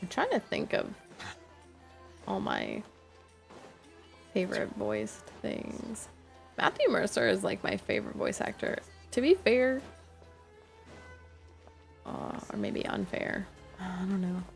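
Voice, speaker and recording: A woman's voice: mid-range voice, wildly swooping pitch, unhurried, gravelly, long pauses, faint music behind. A young woman streaming a game, chatting casually and rambling with lots of fillers. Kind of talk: casual chat while gaming.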